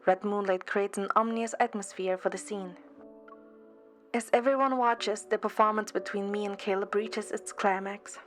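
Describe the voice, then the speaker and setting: Female amateur narrator, mid-pitched, scripted first-person storytelling with music underneath. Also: steady tone, moderate pace. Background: background music.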